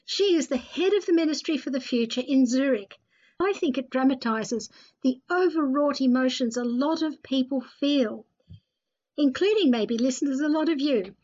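A woman's voice: high voice, darkish tone. A woman narrating and presenting a dramatised reading in a scripted storytelling style. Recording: dull, lo-fi voice recording.

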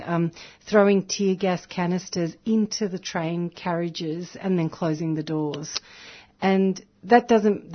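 Earnest woman, from Chile, lo-fi radio. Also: fairly low pitch. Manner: earnest, explanatory, with frequent ums. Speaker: community radio broadcaster and activist. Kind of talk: radio interview answers. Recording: dull lo-fi radio audio.

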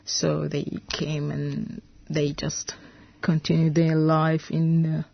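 Low, slow-spoken Sudanese woman. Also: completely flat delivery, gravelly, dry recording, lo-fi sound. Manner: halting, searching for words. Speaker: interview guest, veterinarian. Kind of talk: radio interview.

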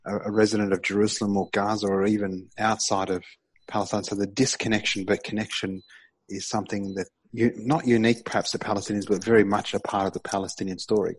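Man, radio host, husky voice, lowish pitch, conversational, enthusiastic. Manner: warm, enthusiastic introductions; conversational, some restarts. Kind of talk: community radio interview.

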